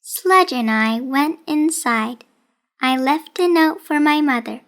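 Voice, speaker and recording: A woman narrating a children's storybook in a deliberate, carefully enunciated way. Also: high-pitched, fairly bright tone. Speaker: children's audiobook reader.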